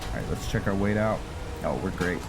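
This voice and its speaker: A man giving casual gameplay commentary as the host of a playthrough, halting as he thinks out loud. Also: low voice, very noisy. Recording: music behind the voice. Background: game music.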